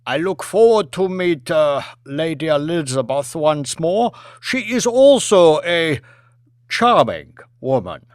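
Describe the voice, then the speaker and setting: A man voicing a polite, effusive Chinese premier in an audiobook, speaking with halting, stilted courtesy. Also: fairly high pitch, big pitch swings, smooth, no echo, studio quality. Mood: admiring. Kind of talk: audiobook character acting.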